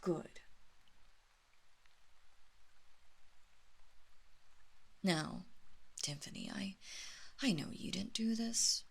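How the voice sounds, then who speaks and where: A woman playing an imperious, coolly menacing vampire mistress in a roleplay audio drama, speaking formally and condescendingly, at times dropping into a breathy whisper. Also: mid-range voice, animated, heavily acted. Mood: coldly menacing. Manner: slow, deliberate, formal, condescending.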